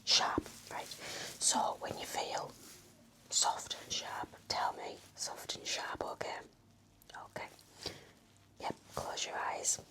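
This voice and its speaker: Slow, whispering woman doing nurse ASMR. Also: rough recording. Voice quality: breathy whisper. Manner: gentle, soothing, encouraging, attentive. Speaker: ASMR performer role-playing a nurse. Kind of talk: whispered ASMR medical exam roleplay.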